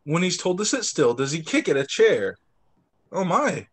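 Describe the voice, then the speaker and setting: A man's voice: fairly high pitch, normal speed, breathy edge. A young man, a teacher, reads picture books aloud to small children expressively, with short asides. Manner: expressive, doing voices for dialogue, with short asides. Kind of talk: animated picture-book read-aloud. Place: indoors, on a video call.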